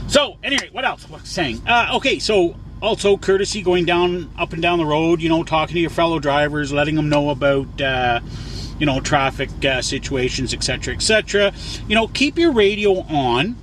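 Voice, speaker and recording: A man talking casually as a trucker vlogger, explaining things in a rambling, folksy way and repeating himself for emphasis. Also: a little high. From Canada. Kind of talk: casual explanatory vlog talk. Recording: clear vehicle and outdoor noise. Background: vehicle and outside noise.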